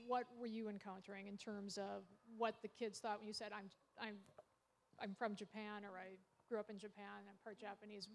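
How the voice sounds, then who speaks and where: A woman's voice: mid-range voice, slight background noise, poor audio. A woman moderating a panel discussion, asking long, probing questions of the speakers.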